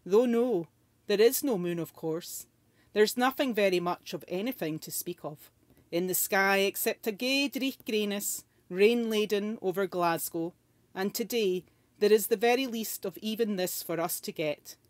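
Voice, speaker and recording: Woman, mid-pitched voice, poetry reading, very dry recording. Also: measured pace, no room sound. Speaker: poetry reader. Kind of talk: poetry recitation.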